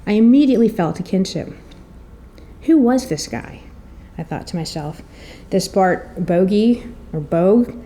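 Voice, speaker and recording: A woman's voice: mid-range voice, animated, measured pace, mellow. A middle-aged woman presenting a prepared introduction, telling stories in an articulate, wry and slightly theatrical way. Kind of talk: prepared introductory speech with storytelling.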